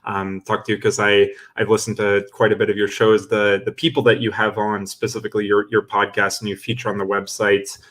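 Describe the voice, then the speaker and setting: A man's voice: on the low side, monotone, fairly quick, hardly any pauses, fairly bright tone. A young adult man hosting a live-streamed interview webinar over a video call, enthusiastic and full of fillers and restarts. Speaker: webinar host.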